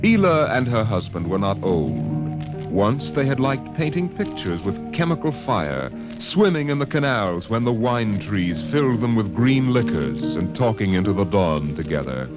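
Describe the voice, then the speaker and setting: A man with a fairly low voice narrates a literary radio drama. He tells it in a measured, evocative way, with loud music behind him. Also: big pitch swings. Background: loud music and effects.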